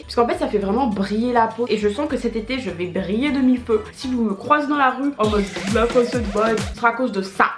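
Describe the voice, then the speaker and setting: A woman's voice: a little high, reverberant. Bubbly teenage girl vlogging. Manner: bubbly, chatty, slangy, with bursts of excitement. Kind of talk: casual haul vlog. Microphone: camera mic.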